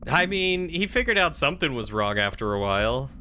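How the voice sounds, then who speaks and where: Bright-voiced man streaming a game. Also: medium pitch, big pitch swings, fairly quick, a little hiss or hum. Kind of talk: casual live game commentary, chatting with viewers.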